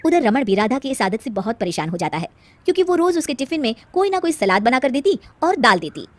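Female storyteller for children's tales, brisk pace, high and lively pitch. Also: no room sound. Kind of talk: scripted narration of a children's story.

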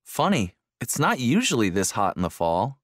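An animated grumbling man. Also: medium pitch, normal speed, dry recording, studio quality. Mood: complaining. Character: man grumbling about hot weather. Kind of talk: scripted English listening-exercise dialogue.

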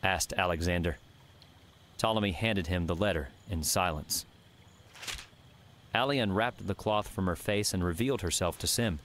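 A man narrating a fiction audiobook with measured, scripted storytelling. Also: sits low, a bit bright, slight background noise.

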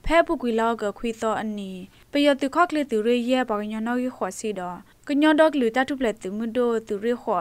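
Female news reader, high voice, dry close studio sound. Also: no room sound. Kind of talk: scripted news bulletin.

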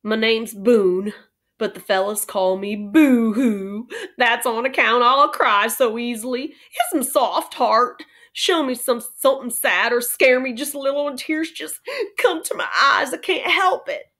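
Woman doing a drawling, soft-hearted little cowboy. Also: fairly high pitch, pitched above the performer's own voice. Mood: embarrassed. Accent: American cowboy drawl. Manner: slow drawling, folksy cowboy slang. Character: tiny weepy ginger cowboy man, folksy, bewildered.

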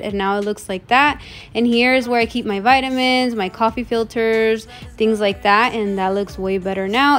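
Chatty woman narrating over music. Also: on the high side. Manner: casual, chatty, matter-of-fact. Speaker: cleaning vlogger. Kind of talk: voice-over narration of a cleaning video. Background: background music.

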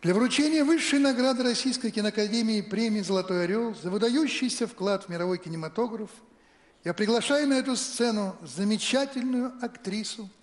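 An older man reciting a poem slowly and dramatically, then introducing an award winner from a large stage. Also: high-pitched. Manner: slow dramatic recital, then brisk announcing. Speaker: award presenter. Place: large concert hall stage. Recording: echoey hall with music under it.

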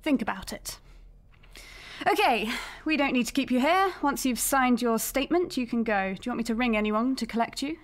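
Businesslike lady cop in a radio play, played by an actress, fairly high voice, firm and measured. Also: lively intonation, natural voice. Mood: easing, practical. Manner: measured, matter-of-fact, firm. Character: brisk, businesslike female police detective sergeant. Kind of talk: audio drama acting.

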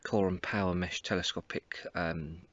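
A man in his forties, an angler vlogging from a riverbank into a phone camera close up, talking through his gear in a relaxed, halting way with many ums and restarts. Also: low-pitched, no echo, dull audio. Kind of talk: casual walkthrough of fishing gear. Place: riverbank outdoors. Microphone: phone camera held close.

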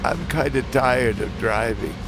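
A man's voice: mid-range voice, unhurried, over music, close to an everyday voice. A man playing a world-weary, fatalistic father and damned driver, narrating a comic drama in a brooding, wry way. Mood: wry, intense. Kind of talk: scripted first-person dramatic narration.

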